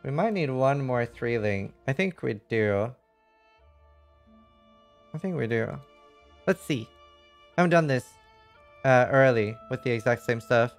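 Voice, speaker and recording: A man's voice: mid-range voice, lively intonation, unhurried, pauses often, faint music behind. A man doing casual live game commentary, reading chat and thinking aloud in a frank, rambling way with some casual swearing. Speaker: livestreamer.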